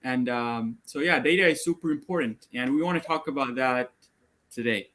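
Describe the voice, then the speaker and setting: Bright-voiced young man presenting online. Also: medium pitch, normal speed. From Argentina. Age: young adult. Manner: casual, upbeat, filler-heavy. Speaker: student presenter. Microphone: video call.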